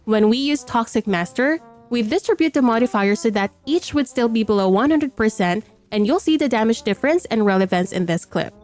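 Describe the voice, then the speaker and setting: A woman's voice: fairly high pitch, lively intonation, fairly quick, hardly any pauses, a little mellow, soft background music. Stuffy-voiced woman explaining a game build. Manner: explanatory, matter-of-fact. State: stuffy from a cold. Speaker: game guide creator. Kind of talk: game build guide voice-over.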